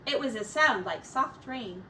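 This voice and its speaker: A woman reading a children's picture book aloud as a teacher, in a deliberate, expressive storytelling manner. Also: on the high side. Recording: distant mic with faint background noise.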